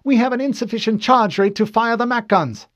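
Man acting a high, keyed-up weapons lieutenant. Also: big pitch swings, normal speed. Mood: alarmed. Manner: high, urgent military reporting.

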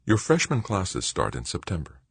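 Man reading as weary executive mother, slightly lifted pitch. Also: wildly swooping pitch, normal speed, gravelly, slightly rough, dry recording, heavily acted. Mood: weary, resistant. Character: weary, reluctant mother and corporate executive woman.

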